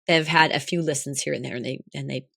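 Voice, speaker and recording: Hoarse young woman co-host, low voice, dry close sound, chatty and rambling. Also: fairly even intonation, fast talker, a bit bright, dry recording. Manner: chatty, laughs often, rambling restarts. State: hoarse, recovering lost voice. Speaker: podcast co-host. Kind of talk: casual chat.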